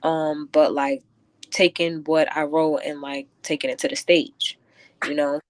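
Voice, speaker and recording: A woman with a low voice speaks as a guest poet in a radio interview. She is reflective and halting, feeling her way through answers. The sound is close and dry. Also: level tone, no room sound. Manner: thoughtful, halting, many filler likes. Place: close-miked radio show.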